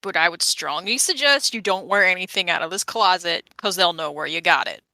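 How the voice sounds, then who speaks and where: Female tabletop player, bright forceful tone, dry close recording, casual wry explanations. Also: on the low side, fairly quick, vocal fry, dry recording. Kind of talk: casual in-game chat.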